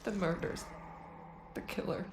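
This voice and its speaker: An actress playing a tormented woman struggling to hold her mind together in a video game cutscene, halting and strained. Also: natural voice. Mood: anguished, unraveling. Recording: echoey, loud noise behind.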